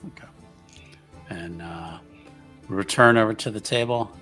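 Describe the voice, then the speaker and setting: A man's voice: lowish voice, a little slow, pushed and bright. A man playing a tabletop roleplaying game, talking casually and moving in and out of character. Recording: music behind the voice.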